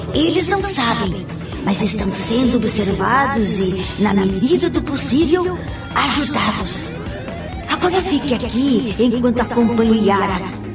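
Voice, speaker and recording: Radio drama: gentle grandmother spirit guide, instructive and serene; echoey, dull recording. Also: fairly high pitch. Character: calm, wise grandmother spirit guiding her grandson. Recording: dull, distant, echoey radio play with music.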